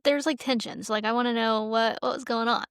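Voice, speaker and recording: Higher-voiced woman co-hosting a podcast. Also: steady tone, brisk, a bit bright, smooth, no room sound, clean studio sound. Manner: informal, conversational questioning. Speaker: podcast co-host. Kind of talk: casual chat about a TV show.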